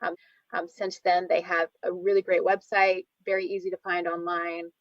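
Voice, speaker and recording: A woman planner presenting informally at a public meeting in a halting, conversational way. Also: lowish voice, flat delivery, no room sound. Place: remote online video meeting. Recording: dull, lo-fi online meeting audio.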